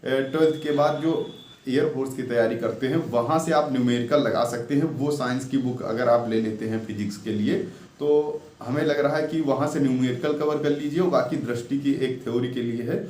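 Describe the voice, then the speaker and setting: A man's voice: medium pitch, fairly quick, darkish tone. A man giving informal exam-preparation advice as a tutor, repeating his points and often checking that listeners follow. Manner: repetitive, instructional, frequently checks in with a tag word. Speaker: exam coaching tutor and channel host. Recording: echoey room with faint background noise.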